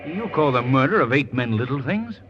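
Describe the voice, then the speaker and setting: An actor playing an urbane, earnest male gentleman detective, in 1940s radio style. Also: medium pitch, big pitch swings, a little fast, close to an everyday voice. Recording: dull, hissy old radio recording.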